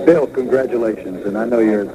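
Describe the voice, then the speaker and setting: A man's voice: mid-range voice, a little fast, rough recording. A man working as a radio sports interviewer, introducing and questioning guests after a game. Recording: radio broadcast amid loud crowd. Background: crowd noise.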